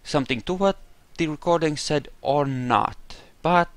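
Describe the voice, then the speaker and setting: A man's voice: on the high side, a little slow, dry recording. A man giving casual roleplay playthrough commentary, musing hesitantly as he thinks aloud about what his character believes. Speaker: gaming video host.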